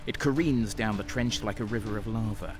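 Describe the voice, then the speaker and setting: A man's voice: fairly low pitch, fairly quick, noisy background, natural voice. A man playing a renegade guardsman who narrates his own battles in a first-person audio drama. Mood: grim, tense. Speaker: narrator and main character. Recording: music behind the voice. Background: dramatic music.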